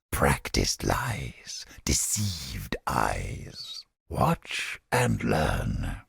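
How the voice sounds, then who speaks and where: An actor playing a cynical, haughty old scholar of the mind in a video game, with a raspy, gravelly voice and a pompous, irritable manner. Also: male voice, a bass voice, fairly bright tone, no echo, studio quality, full character voice. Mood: sly, murmuring. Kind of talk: dramatic video game voice acting.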